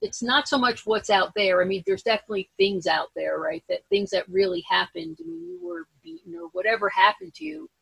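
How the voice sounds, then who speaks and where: Female podcast-style host. Raspy, creaky mid-pitched voice, reflective and conversational, rewords as she thinks. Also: big pitch swings, a little fast, hardly any pauses, fairly bright tone, no room sound, low-quality recording. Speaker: interview host.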